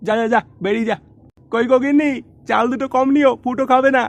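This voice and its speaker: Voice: medium pitch, fairly even intonation, fairly quick, gravelly, dry recording, close to an everyday voice. A man playing a miserly, melodramatic father in a Bengali comedy cartoon, grumbling in an exasperated, sarcastic way. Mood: mocking, dismissive. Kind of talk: comic cartoon dubbing in colloquial Bengali.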